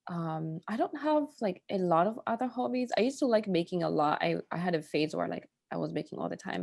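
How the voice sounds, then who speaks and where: Low gravelly woman in her thirties. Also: no echo, clean studio sound. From Tanzania. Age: 30s. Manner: relaxed, hesitant, many fillers. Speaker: guest. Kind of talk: casual self-introduction.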